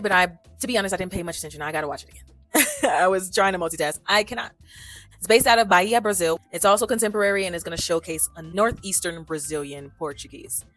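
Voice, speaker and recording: A woman vlogging to camera about films, chatty and animated, full of playful asides and slang. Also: lowish voice, dry recording. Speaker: vlogger reviewing films for language learners. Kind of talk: casual chat to camera.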